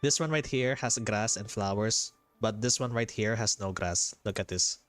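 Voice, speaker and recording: A man's voice: on the low side, moderate pace. A man doing casual, chatty live gameplay commentary, often repeating himself. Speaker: game streamer. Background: soft game music.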